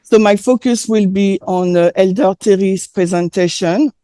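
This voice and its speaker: Slow, mellow woman with a French accent. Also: medium pitch. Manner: hesitant, frequent filler pauses. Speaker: presenter. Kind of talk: sermon with consecutive interpretation.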